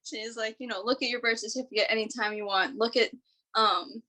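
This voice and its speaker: A woman's voice: a little high, steady tone, fairly quick, pushed and bright. A woman speaking casually in an interview about adoption, using "like" often. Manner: casual, frequent "like". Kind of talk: personal interview.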